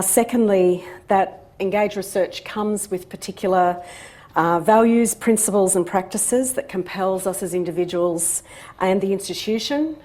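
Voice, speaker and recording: A woman's voice: mid-range voice, a little mellow. Woman lecturing with frequent ums. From Australia. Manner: measured, many ums and uhs. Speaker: guest speaker, professor. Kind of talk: university seminar lecture. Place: lecture room.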